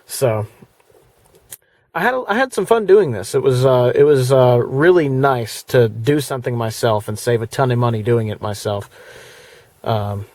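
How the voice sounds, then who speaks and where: A man with a mid-pitched voice chats casually through a product review. His delivery is plainspoken and rambling, like everyday conversation. The sound is close and dry, with very little room on it. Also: moderate pace, a little mellow, no room sound. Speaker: video presenter.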